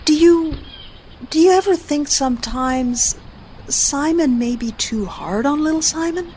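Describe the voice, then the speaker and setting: A woman's voice: high voice, lively intonation, measured pace, darkish tone, a little hiss or hum. A woman playing a chatty, sympathetic mother in an audio drama. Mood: sympathetic, probing.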